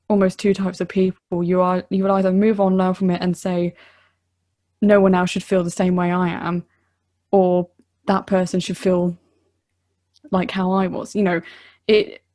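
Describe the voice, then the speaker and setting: A woman's voice: mid-range voice, dark tone. A woman from southern England, a podcast guest speaking earnestly and reflectively, with many "you know" fillers. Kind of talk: casual podcast chat.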